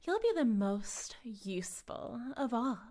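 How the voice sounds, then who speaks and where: Slow, sweetly menacing female cult leader, acted by a woman. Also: a little high, very animated, dark tone. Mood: smug, coaxing. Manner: theatrical, cooing, condescending, drifting into breathy whispers. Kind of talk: intimate audio roleplay monologue addressed to the listener.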